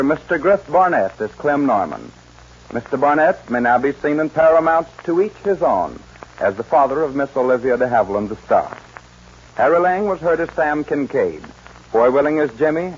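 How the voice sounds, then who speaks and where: A man's voice: fairly high pitch, husky. A man announcing scripted credits in a formal, polished 1940s radio style. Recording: muffled 1940s radio recording.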